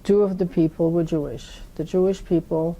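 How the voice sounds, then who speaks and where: A woman in her eighties with a Dutch accent, speaking slowly in an oral history interview and pausing to search for her words. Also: on the low side, steady tone, warm tone, slight background noise, low-quality recording. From Netherlands. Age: 80s.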